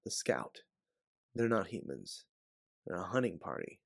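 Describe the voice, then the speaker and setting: Man as female bounty hunter, sci-fi audiobook, sardonic, terse, tough, low voice. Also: sing-song, measured pace, gravelly, dry recording, clean studio sound, exaggerated voice. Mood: terse, wry. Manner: terse, sarcastic, blunt.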